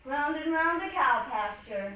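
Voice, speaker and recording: Recorded from a distance in a very echoey hall, a woman gives a dramatic stage reading. Her voice is high and bright, and her delivery is theatrical and declamatory. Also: lively intonation, unhurried. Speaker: reader's theatre performer. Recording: echoey hall, distant mic, faint noise.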